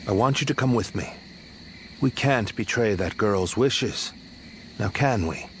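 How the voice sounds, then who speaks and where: A man playing a steady, determined warrior of ancient China in a video game, measured and composed. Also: on the low side, slight background noise. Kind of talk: video game dub acting.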